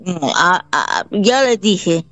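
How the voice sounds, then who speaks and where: Halting Bolivian-born woman, slow and gravelly. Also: fairly low pitch, wildly swooping pitch, fairly bright tone, no echo, faint music behind. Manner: halting, repetitive, affectionate. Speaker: caller. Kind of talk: phone-in conversation.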